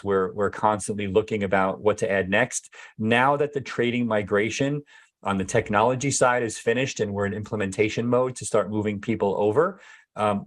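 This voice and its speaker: A man, a company executive, answering viewer questions in a casual live Q&A, hesitant with many fillers and restarts, his voice going hoarse. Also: fairly low pitch. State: losing his voice.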